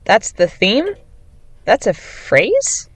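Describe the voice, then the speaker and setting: A woman's voice: on the high side, huge pitch swings, extremely slow, breathy edge, heavily acted. A woman playing a dry, matter-of-fact, laconic friend in a naturalistic fiction podcast. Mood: curious, casual.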